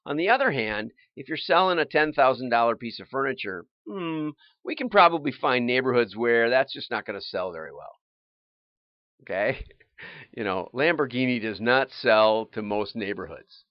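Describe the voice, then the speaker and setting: A man hosting a livestream, explaining things informally in a halting, think-aloud way with restarts and asides. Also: medium pitch, lively intonation, dry recording, lo-fi sound. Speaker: livestream host and marketing consultant.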